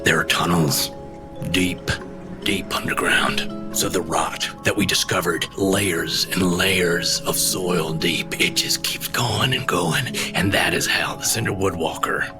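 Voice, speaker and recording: A man playing an earnest investigator in a fantasy audio drama, halting and pausing for drama. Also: sits low, music in the background. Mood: earnest, ominous.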